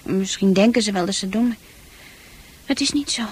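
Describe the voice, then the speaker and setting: A woman playing a troubled, unsure teenage girl in a radio play, speaking intimately and hesitantly with a husky, breathy voice that often sinks to a near-whisper. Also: medium pitch, fairly quick, darkish tone, natural voice. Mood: frustrated.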